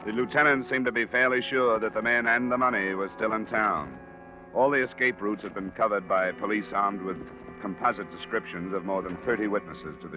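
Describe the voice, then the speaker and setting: A man playing a cool, probing insurance investigator who narrates and questions in a measured, matter-of-fact vintage radio detective style. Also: fairly low pitch, close to an everyday voice. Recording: dull vintage radio recording with music.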